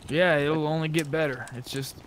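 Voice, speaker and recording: Man, slightly high-pitched, casual roleplay chat, game voice chat, faint background noise. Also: steady tone, moderate pace.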